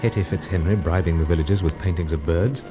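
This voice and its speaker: An actor playing a brisk British secret agent who also narrates his jungle adventure, in old-time radio serial style. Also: low-pitched, over loud music, natural voice. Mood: dramatic narration. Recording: dull vintage radio recording, noisy.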